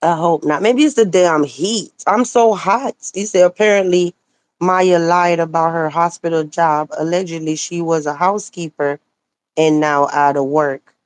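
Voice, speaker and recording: A woman livestreaming casual commentary, animated and sassy, answering viewer comments, her voice a little hoarse. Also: lowish voice, measured pace, dry recording. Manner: animated, sassy, talks back to chat comments. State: slightly hoarse. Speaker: livestreamer reading chat.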